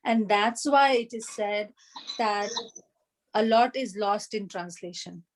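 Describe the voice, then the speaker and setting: A woman teaching an online Arabic class, explaining grammar and translation patiently, with frequent fillers and pauses. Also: mid-range voice, a little hiss or hum, rough recording.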